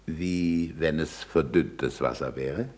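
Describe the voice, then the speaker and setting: An actor playing a shrewd, commanding scientist and teacher in a German radio play, ironic and didactic. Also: male voice, a bass voice, slow pace, a little mellow. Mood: probing, didactic. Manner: didactic, probing questions, sardonic. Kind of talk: German stage-style radio play acting.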